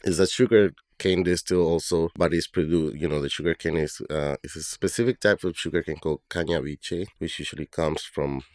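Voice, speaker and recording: Guest, man from Colombia, rambling easy talk, very deep voice, dry sound. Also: fairly quick, few pauses, darkish tone, dry recording. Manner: loose, thinking aloud with many fillers. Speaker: guest, bartender and brand ambassador. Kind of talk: casual interview talk.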